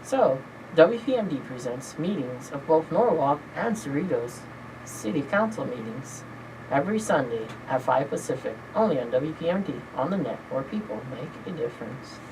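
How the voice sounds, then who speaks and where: A woman's voice: sits low, expressive, normal speed. A woman hosting a college radio show, asking casual, upbeat questions. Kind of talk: radio interview. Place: small radio studio. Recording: noisy background hiss.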